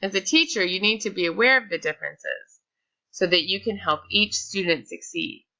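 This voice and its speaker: A woman's voice: medium pitch, expressive, normal speed, pushed and bright, dull audio. A woman reading a scripted slide presentation aloud, with small slips and restarts.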